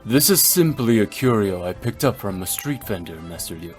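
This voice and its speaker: A man playing a calm, learned, dignified gentleman in a video game, speaking formally and with composure. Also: fairly low pitch, moderate pace, lightly acted. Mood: calm, kindly. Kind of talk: measured game voice acting. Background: background music.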